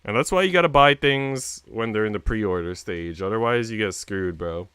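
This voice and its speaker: A man's voice: lowish voice, normal speed, a bit bright, clear, resonant tone, no echo. A man livestreaming, chatting casually with viewers in a rambling, slangy way with casual swearing. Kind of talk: casual chat with stream viewers.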